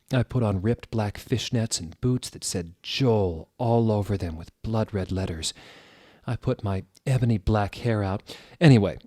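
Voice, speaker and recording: Husky, low-voiced man reading dramatically. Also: mellow, dry recording, clean studio sound. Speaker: reader. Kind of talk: dramatic comedic reading of fan fiction.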